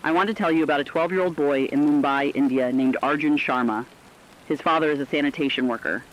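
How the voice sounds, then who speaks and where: A man's voice: fairly high pitch, fast talker. Sober male storyteller on dull lo-fi radio. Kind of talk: scripted human-interest narration for radio drama.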